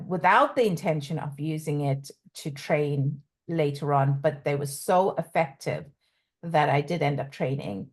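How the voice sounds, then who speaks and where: Low-voiced woman from South Africa. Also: a little mellow. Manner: halting, many ums and restarts, laughs often. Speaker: guest coach and author. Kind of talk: casual interview chat.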